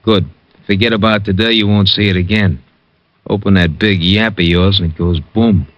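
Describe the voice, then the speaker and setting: Guy doing a tough gangster, low voice, clipped threats, muffled old radio sound. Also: steady tone, normal speed, fairly bright tone, dry recording, lightly acted. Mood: menacing. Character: menacing male gangster with a gun. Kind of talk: 1940s radio acting. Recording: dull vintage radio recording.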